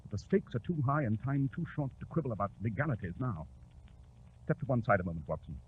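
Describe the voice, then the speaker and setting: On a tinny, hissy 1940s radio recording, a man performs a self-assured male detective giving crisp orders and explanations. His delivery is theatrical and authoritative, with a very animated, wide-ranging pitch. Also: fast talker, natural voice. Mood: urgent, commanding. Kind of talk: 1940s radio drama acting.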